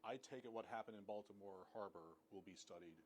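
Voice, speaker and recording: A man's voice: fairly low pitch, fast talker, noisy background. A male news reporter conducting a field interview, asking prompting questions. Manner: prompting, inquisitive.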